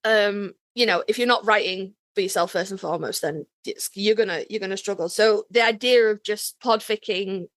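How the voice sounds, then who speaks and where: A woman's voice: mid-range voice, moderate pace, hardly any pauses, dry recording. Effusive woman podcaster, dry close sound. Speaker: podcast co-host. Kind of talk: casual chat and podcast sign-off.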